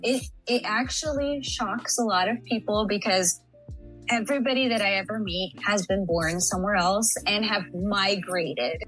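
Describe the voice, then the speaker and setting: A woman's voice: mid-range voice, normal speed, a bit bright, slightly rough, faint music behind, rough recording. Bubbly, chatty young Texan woman. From San Antonio, Texas. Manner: bubbly, chatty, laughs often. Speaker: interview guest. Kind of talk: casual podcast interview.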